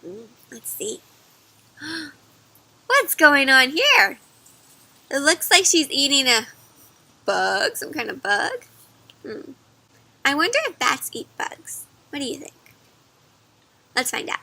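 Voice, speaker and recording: Female children's read-aloud storyteller: high, very bright voice, slow delivery, expressive and question-led, dry close sound. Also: dry recording. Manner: expressive, asks questions, chatty asides to young listeners.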